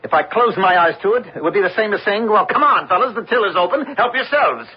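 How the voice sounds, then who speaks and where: A bossy impatient husband, husky voice, very swoopy delivery, old radio drama. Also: male voice, high-pitched, animated, quick pace, heavily acted. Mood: exasperated. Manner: domineering, dismissive, forceful phrasing. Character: overbearing, cold-blooded, impatient middle-aged businessman husband. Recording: dull lo-fi radio broadcast recording.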